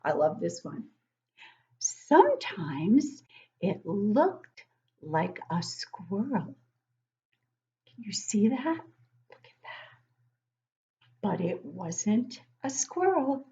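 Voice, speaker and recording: A woman's voice: mid-range voice, sing-song, drawn-out words, long pauses, dark tone, highs cut off. A middle-aged woman hosting an interactive picture-book read-aloud for young children, encouraging them with questions and exclamations. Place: living room at home, indoors. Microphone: webcam at desk distance.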